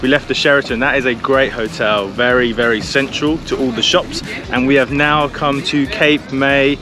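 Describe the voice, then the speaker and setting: A man's voice: medium pitch, fairly even intonation, moderate pace. Upbeat man vlogging on the road. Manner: upbeat and chatty, uses British words like "proper" and "reckon". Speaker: travel vlogger host. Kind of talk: casual travel vlog narration to camera. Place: outdoors at beaches, boats, streets and restaurants. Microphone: handheld camera at arm's length. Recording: music playing loudly over the voice.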